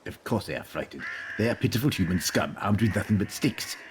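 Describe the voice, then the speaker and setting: A man's voice: fairly low pitch, animated, fairly quick, background noise, lightly acted. A man playing a pompous, bloodthirsty alien warrior servant in an audio drama, literal-minded, barking and scornful of humans.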